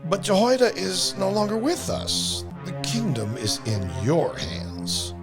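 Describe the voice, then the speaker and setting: Guy doing a oily flattering prince in a bible drama, slow and drawn out, swings way up and down, talks down to people. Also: high voice, vocal fry, music in the background, exaggerated voice. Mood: sly, persuasive. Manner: oily, condescending, theatrical pauses. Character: sly, flattering male prince urging a king toward idols.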